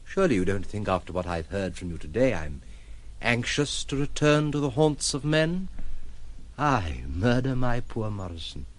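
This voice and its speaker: An actor playing a troubled, tender, reclusive gentleman in an audio drama, with a formal and fretful manner. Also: lowish voice, big pitch swings, moderate pace. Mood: fretful, reassuring.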